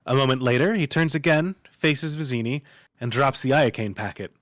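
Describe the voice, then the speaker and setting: Guy narrating screenplay scene directions, average pitch, very dry close sound. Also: normal speed, dry recording.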